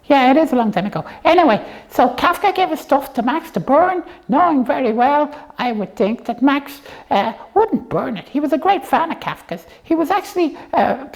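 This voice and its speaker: A woman's voice: sits high, very animated, normal speed, hardly any pauses. A woman presenting a puppet show in casual comic chat, explaining things in a rambling, hesitant way.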